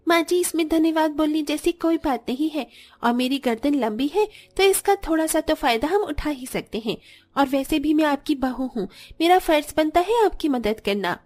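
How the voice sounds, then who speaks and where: A woman's voice: high-pitched, lively intonation, normal speed, darkish tone, soft background music. A woman voicing a young, devoted daughter-in-law in a moral story, polite, eager to help and reassuring. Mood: sweet, earnest. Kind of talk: scripted Hindi moral story voice acting.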